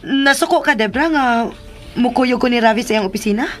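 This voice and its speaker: A gentle teenage daughter played by a woman in a radio drama. She reasons patiently and dutifully in a fairly high voice. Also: fairly quick, over loud music, lightly acted. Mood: calm.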